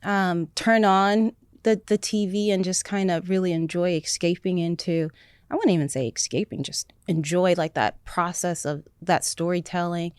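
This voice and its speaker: A woman with a lower voice talks as a guest in a relaxed interview. She is warm with enthusiasm and rambles a little, filling pauses with small words. The sound is dry and close. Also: smooth, no room sound. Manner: enthusiastic, rambling with many fillers. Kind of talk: casual interview chat.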